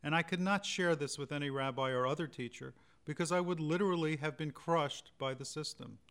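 A man with a fairly high, clear voice reads a personal memoir quietly and reflectively. His tone is crisp and resonant. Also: fairly quick. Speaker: reader. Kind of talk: first-person memoir reading.

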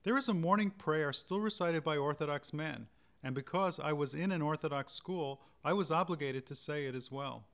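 A man with a fairly high, clear voice reads a personal memoir quietly and reflectively. His tone is crisp and resonant. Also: moderate pace. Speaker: reader. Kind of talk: first-person memoir reading.

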